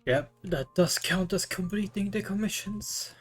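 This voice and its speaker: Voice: mid-range voice, a little slow, faint music behind. A game streamer reacting casually in brief, laid-back interjections during a livestream.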